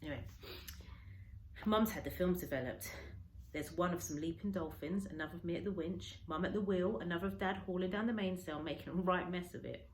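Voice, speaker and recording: A woman teacher reading a children's storybook aloud with an expressive, dramatic storytelling delivery. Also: on the low side, a little fast, roomy sound, a little hiss or hum, poor audio. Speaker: schoolteacher.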